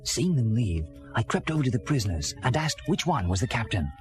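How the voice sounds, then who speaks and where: A man playing an animated castaway storyteller. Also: mid-range voice, fairly quick, natural voice. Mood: earnest, reflective. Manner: animated, theatrical delivery. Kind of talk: dramatized children's read-along storytelling. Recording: vintage record with music behind. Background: music.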